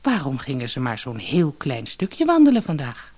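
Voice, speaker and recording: A woman reading a children's fairy tale as an expressive audiobook narrator who acts out the dialogue. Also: a bass voice, normal speed.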